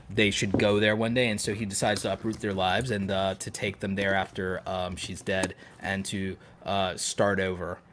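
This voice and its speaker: A man with a fairly low voice co-hosts a casual podcast. He talks in a rambling, enthusiastic way, gushing as he goes along in loose conversational phrases. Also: a bit bright, slight background noise. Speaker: podcast co-host.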